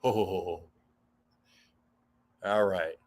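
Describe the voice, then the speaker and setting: A man's voice: low-pitched, steady tone, very slow. A man co-hosting a casual live sports podcast from a backyard studio, speaking in a laid-back, hesitant way with plenty of fillers. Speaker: podcast co-host.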